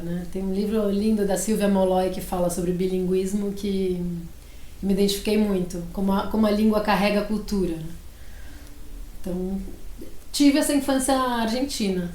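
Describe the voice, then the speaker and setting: A woman's voice: medium pitch, steady tone, moderate pace, darkish tone. A woman in her forties, a publishing director, talking thoughtfully in an interview, often restarting and ending with a questioning "né?". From Brazil. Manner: thoughtful, many restarts and trailing "né?". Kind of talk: interview conversation. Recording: echoey room with faint noise.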